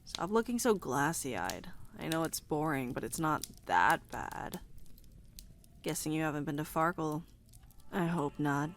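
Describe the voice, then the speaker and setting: A woman's voice: sits low, lively intonation, measured pace, slight background noise. A woman playing a sarcastic, bratty vampire deity in an audio drama, flippant, slangy and impatient. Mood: mocking, bored. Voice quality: creaky. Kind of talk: visual-novel audio drama.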